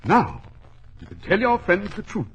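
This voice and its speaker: A man playing a shrewd, smug gentleman detective, articulate and commanding, in 1940s radio acting style. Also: high-pitched, huge pitch swings, moderate pace. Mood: earnest, commanding. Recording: noisy vintage 1940s radio recording.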